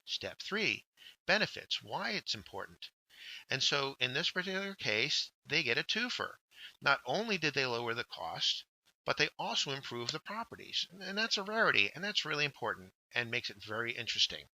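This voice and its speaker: A man narrating a scripted instructional workshop, explaining step by step with deliberate emphasis on key words. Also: medium pitch, very animated, sharp tone, no echo, rough recording. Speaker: workshop instructor, journal editor.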